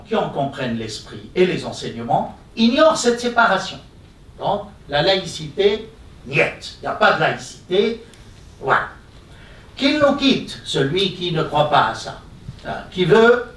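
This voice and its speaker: Male professor at a conference, high voice, picked up from afar in a reverberant hall, chatty asides. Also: a bit bright. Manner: conversational asides with frequent "hein?" and hesitations. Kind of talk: lecture at a colloquium. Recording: echoey hall from a distance.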